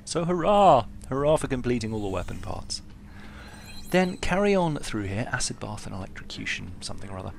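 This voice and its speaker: A man's voice: mid-range voice, sing-song, a little fast, gravelly, a little hiss or hum. A man giving an offhand, chatty game walkthrough voice-over, with self-deprecating asides. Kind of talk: casual walkthrough commentary.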